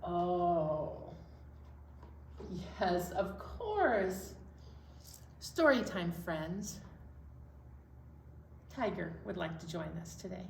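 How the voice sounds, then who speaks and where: A woman's voice: lowish voice, big pitch swings, extremely slow, vocal fry, pauses often. A woman hosting a storytime for young children, speaking to them directly in a sing-song way. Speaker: library storytime host. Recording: echoey room, slightly distant mic, faint noise.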